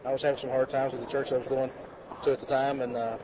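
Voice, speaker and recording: A relaxed man from Arkansas talks quickly and fills his pauses with hesitant fillers. Loud background noise from outdoors runs under his voice. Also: mid-range voice. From Arkansas, USA. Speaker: interviewee. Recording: noisy outdoor event ambience.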